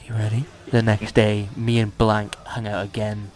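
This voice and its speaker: A man's voice: lowish voice, completely flat delivery, normal speed, soft background music. A man casually bantering and reading fan fiction aloud in a mock-dramatic, teasing and sweary way.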